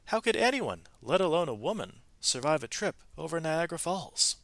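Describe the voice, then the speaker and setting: A man narrating a children's story aloud in an expressive, animated way, with varied emphasis from phrase to phrase. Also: on the high side, normal speed, gravelly, dry recording.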